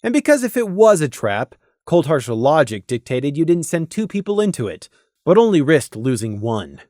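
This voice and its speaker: Expressive, dry-recorded male storyteller. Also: fairly high pitch, normal speed, no room sound. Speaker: storyteller, audiobook narrator. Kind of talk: scripted narration of a fantasy story.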